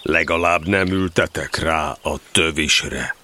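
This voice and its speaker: A man's voice: rumbling low, bright, forceful tone. A man playing a gloomy, sardonic donkey in a children's audio drama, grumbling and lecturing morosely. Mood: gloomy, sarcastic.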